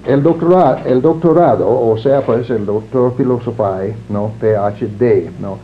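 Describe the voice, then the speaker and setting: An older man whose first language is English, answering interview questions in a formal, measured and reflective way. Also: medium pitch. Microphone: camera mic. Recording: narrow lo-fi sound with faint hiss.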